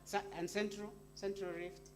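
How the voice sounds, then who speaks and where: A woman's voice: mid-range voice, level tone, moderate pace, a little mellow. A woman with a Kenyan accent, an academic giving an informal, playful talk to an audience, chatty and putting questions to her listeners. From Eastern Kenya. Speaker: university academic, panel speaker. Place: live university event. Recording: clearly noisy live event recording.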